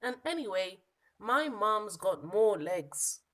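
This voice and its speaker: A woman voicing a small, lost young monkey from a children's storybook, plaintive and exasperated, with emphatic, drawn-out protests. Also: mid-range voice, big pitch swings, a bit bright. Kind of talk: children's storybook character reading.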